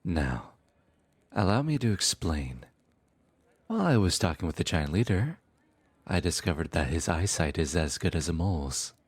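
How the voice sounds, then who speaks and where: A man's voice: low-pitched, sing-song, normal speed, slightly rough, dry recording, full character voice. A man acting in a fantasy audio roleplay as a sly, teasing trickster god, theatrical and mock-polite. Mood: smug.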